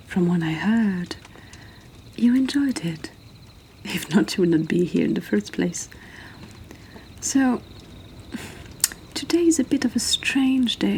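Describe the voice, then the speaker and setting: A woman reads poems in a soft, hushed whisper, taking her time over each line. Her tone is mellow and dark, never bright. A faint ambience with some animal sounds sits behind her voice. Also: fairly low pitch, slight background noise, low-quality recording. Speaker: host reading poems. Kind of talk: whispered poetry reading. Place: indoors by a fire.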